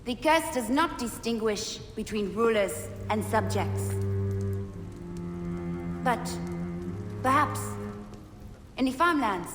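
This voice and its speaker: High-voiced solemn priestess in echoing hall. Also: female voice, expressive, slow, long pauses. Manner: solemn, formal, declamatory. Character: devout, grave high priestess of Amun in ancient Egypt. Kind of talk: dramatic scripted game dialogue. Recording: echoey hall, loud music behind.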